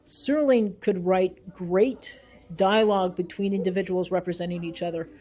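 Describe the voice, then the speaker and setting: A woman's voice: lowish voice, fairly even intonation, moderate pace, dark tone. A woman speaking as an interview guest and researcher, earnest and emphatic, repeating phrases for weight. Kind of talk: thoughtful spoken interview.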